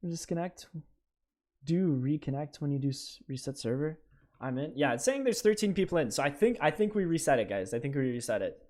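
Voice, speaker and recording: A young Canadian man talks to his live chat while he plays, his pitch a touch high and swinging widely. He restarts his sentences often and reacts with excitement, speaking into a desk mic at home. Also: a little fast. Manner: animated, stammering, talks to chat. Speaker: streamer. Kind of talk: live gameplay stream chat.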